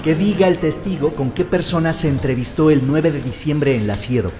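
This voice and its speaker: Actor as high-pitched male court examiner, reverberant. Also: a little fast, darkish tone, faint music behind, lightly acted. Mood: formal. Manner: formal, procedural, formulaic. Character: formal male court examiner questioning a witness. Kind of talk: dramatized courtroom questioning.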